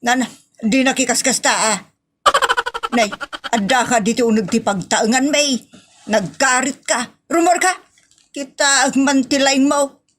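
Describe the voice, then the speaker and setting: Exasperated scolding Ilocano woman, played by an actress, higher voice. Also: measured pace, pauses often, a bit bright, slight background noise. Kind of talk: Ilocano comedy audio drama acting.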